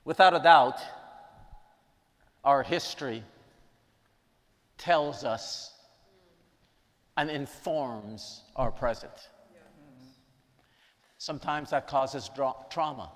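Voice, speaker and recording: Slow, echoing preacher man. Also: mid-range voice. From Guyana. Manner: emphatic, repeats key lines for weight. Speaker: preacher teaching a lesson. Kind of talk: sermon with prayer. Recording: long reverb in a large hall.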